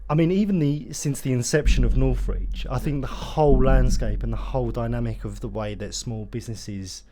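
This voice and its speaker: A man's voice: mid-range voice, fairly quick, noisy background. A man, a company co-founder talking through his story in a casual podcast chat. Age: 30s. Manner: reflective, talks in long run-on stretches with "you know what".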